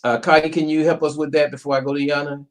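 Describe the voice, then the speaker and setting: A man hosting a panel discussion, speaking in a measured, reflective way and calling on guests by name. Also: a little high, level tone. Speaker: host, minister leading panel.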